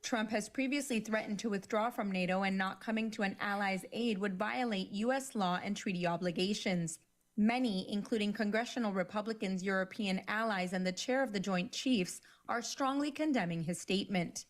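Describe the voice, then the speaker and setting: A woman newscaster reading a scripted news bulletin in an even, measured way. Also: mid-range voice.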